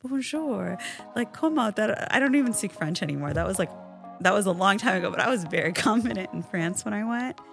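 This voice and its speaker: A woman's voice: medium pitch, lively intonation, a little fast, creaky, fairly bright tone, faint music behind. A woman podcast co-host who grew up speaking Farsi, chatting casually and animatedly with her partner and laughing often. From Iran. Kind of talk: casual couple chat.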